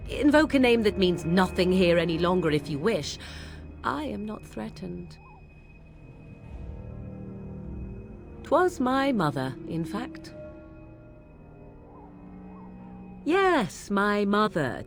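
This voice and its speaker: Sardonic young game witch, aloof, old-fashioned phrasing, expressive intonation. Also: medium pitch, measured pace, soft background music. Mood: defiant. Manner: archaic, haughty, mocking phrasing. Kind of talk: video game acting.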